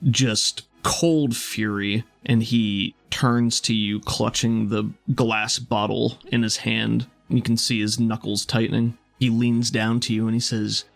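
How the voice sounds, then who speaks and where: Creaky-voiced male game master. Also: on the low side, little pitch movement, a little slow, hardly any pauses, faint music behind. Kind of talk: descriptive tabletop game narration.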